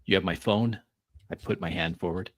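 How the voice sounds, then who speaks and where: A man playing a tabletop roleplay, narrating in the first person in halting, deliberate, brooding phrases. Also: deep voice. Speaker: roleplay player.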